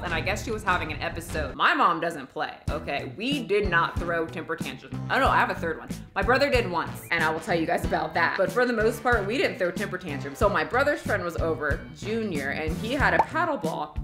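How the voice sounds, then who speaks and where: Outspoken woman ranting to camera. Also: on the low side. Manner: outspoken, animated storytelling. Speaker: YouTuber. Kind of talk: casual rant to camera. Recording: music clearly behind the voice.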